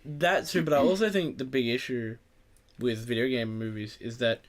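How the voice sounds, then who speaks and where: A man's voice: mid-range voice, fairly even intonation, normal speed. A man hosting a podcast in casual, opinionated group chat, rambling and swearing freely. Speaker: podcast host.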